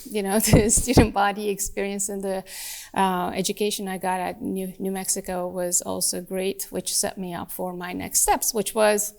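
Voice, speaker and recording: Turkish-born woman in her 40s, smooth clear voice, laughs a lot while chatting. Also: medium pitch, little pitch movement, moderate pace, darkish tone. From Turkey. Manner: thoughtful, laughs often. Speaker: guest, department chair. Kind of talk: casual podcast conversation.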